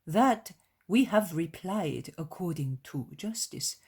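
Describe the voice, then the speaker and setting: Woman reading as a slow, stately old man. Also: fairly low pitch, animated, a little mellow, husky, full character voice. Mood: respectful, measured. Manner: formal, deliberate. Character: stately old male synagogue leader, measured and respectful. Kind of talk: dramatised religious story reading.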